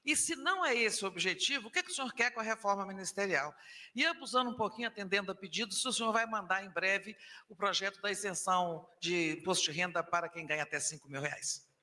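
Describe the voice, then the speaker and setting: A woman journalist asking a question at a press briefing, pausing and correcting herself as she goes. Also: medium pitch, sharp tone. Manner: hesitant, with repeated self-corrections. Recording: echoey hall with long reverb.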